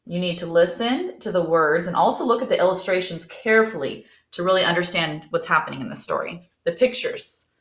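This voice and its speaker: A woman's voice: on the low side, animated, a little fast, hardly any pauses, roomy sound. A woman teacher reading a picture book aloud expressively and putting questions to young listeners.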